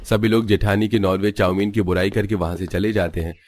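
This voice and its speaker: Low-voiced male story narrator. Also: fairly even intonation, quick pace, a little mellow, a little hiss or hum. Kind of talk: scripted Hindi cartoon story narration.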